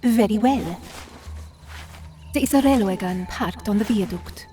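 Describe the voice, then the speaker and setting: A woman's voice: medium pitch, unhurried, warm tone, natural voice. An actress playing a commanding female partisan leader in a video game, speaking in a firm, guarded, challenging way. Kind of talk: video game cutscene acting.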